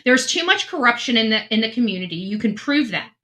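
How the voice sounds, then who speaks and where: A woman's voice: fairly high pitch, very animated, fast, bright, forceful tone. A woman giving casual true crime commentary, earnest and emphatic, often restarting her sentences.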